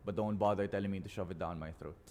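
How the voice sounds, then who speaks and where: A man with a Filipino accent performing spoken word poetry as a guest, in deliberate, dramatic phrases with weighted pauses. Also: sits low, fairly even intonation, warm tone, a little hiss or hum. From Philippines.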